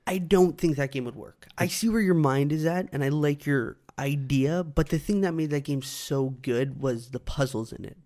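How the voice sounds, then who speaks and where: A man's voice: on the high side, normal speed, hardly any pauses, darkish tone. Casual male podcast co-host arguing his point. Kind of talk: casual chat discussing video game movies.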